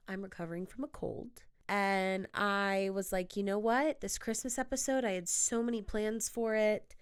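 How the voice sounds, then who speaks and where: A woman's voice: mid-range voice, smooth. A young woman hosting her podcast, talking casually in a playful, bubbly way, a little nasal and sniffly from a cold. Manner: chatty, playful and bubbly, with quick asides. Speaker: podcast host. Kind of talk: casual solo podcast chat.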